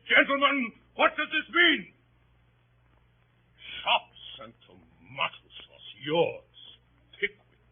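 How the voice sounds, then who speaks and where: Pompous male barrister for vintage radio drama: indignant, declamatory, raspy, on a thin narrow-band recording. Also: high-pitched, exaggerated voice. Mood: outraged, incredulous. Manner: declamatory, grandiose, with drawn-out emphatic phrases and dramatic pauses. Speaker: actor. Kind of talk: 1930s radio acting, courtroom oratory.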